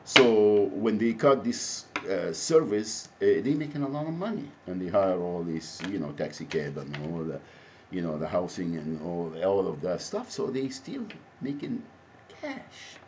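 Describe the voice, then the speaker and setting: A man from Egypt with an Egyptian Arabic accent, speaking off the cuff in a halting, repetitive, rambling monologue. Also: sits low, sing-song, a little mellow. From Alexandria, Egypt. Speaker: activist, self-described advocate. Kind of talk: impromptu spoken monologue to camera. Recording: muffled audio with faint background noise.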